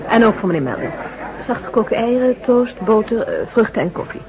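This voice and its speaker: Wanted: concerned, level-headed doctor in a radio play. High, animated voice, gently teasing, on a dull recording. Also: female voice, a little fast, crowd noise. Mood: polite, bright. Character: concerned, sensible female doctor, brain specialist. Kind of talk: radio drama acting in Dutch.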